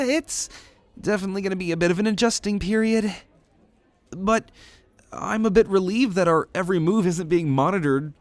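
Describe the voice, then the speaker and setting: A man's voice: high-pitched, no room sound, voice pushed up. A man voicing an earnest, optimistic young demigod student with a hesitant, reassuring manner in an ASMR roleplay audio drama.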